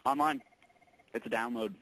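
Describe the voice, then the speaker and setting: A man working as a tech support employee on a call, explaining things patiently in a halting, informal way. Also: mid-range voice, fairly even intonation, a bit bright, no echo, rough recording. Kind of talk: casual explanatory phone conversation.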